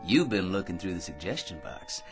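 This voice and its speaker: A man's voice: low-pitched, big pitch swings, a little fast. A self-important male office windbag for radio drama. His voice swoops wildly as he nags and chuckles at his own schemes, with faint music underneath. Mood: eager, pleased. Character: boastful, chattering male office crank full of schemes.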